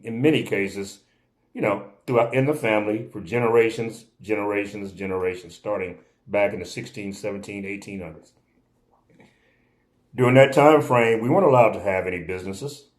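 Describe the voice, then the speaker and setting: A man's voice: lowish voice, a little fast. A man from the United States giving impassioned spoken commentary, emphatic and insistent, often repeating his points. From United States (born in Oklahoma). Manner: repetitive, emphatic, insistent, occasional laughs. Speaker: video commentator.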